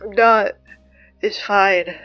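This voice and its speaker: A woman's voice: mid-range voice, steady tone, slow, natural voice. Stubborn teen schoolgirl played by a woman, thick with flu, insistent, dull lo-fi sound with music behind. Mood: stubborn, defensive. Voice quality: congested, hard to understand. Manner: insistent, defensive. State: sick with flu, coughing. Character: sick, stubborn teenage high school girl doing announcements. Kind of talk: audio drama dialogue as school announcements.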